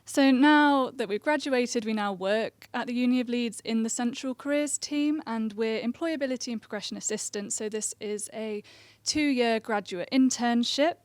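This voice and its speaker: A young woman in her twenties speaks softly in a fairly high voice, giving a conference talk. Her delivery is quiet but steady. The sound is dry and close, with little room echo. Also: normal speed. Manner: soft-spoken, quiet voice. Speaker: careers assistant, panel speaker. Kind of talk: conference presentation.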